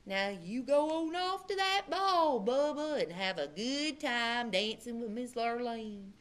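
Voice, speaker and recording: Slow dreamy fairy godmother cow, lady putting it on, drawled out, bright voice. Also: a little high, lively intonation. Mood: soothing. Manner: very slow, drawn out.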